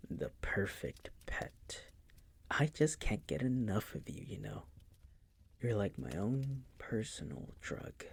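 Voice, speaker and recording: A man playing a possessive, coolly menacing vampire master in an intimate audio roleplay, teasing and condescending in a breathy, gravelly whisper. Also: lowish voice, darkish tone, heavily acted. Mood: seductive, doting. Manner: slow, condescending, teasing, coaxing.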